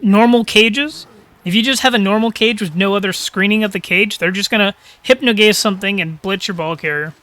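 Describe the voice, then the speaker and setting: Bright high-voiced male game caster. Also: a little fast. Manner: casual, chatty, thinking aloud. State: slightly crackly throat. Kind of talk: live game commentary.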